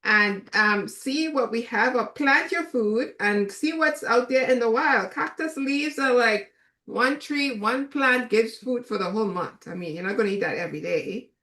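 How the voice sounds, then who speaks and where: A Trinidadian woman chef giving a relaxed, chatty cooking demonstration, with many fillers. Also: a little high, moderate pace, roomy sound.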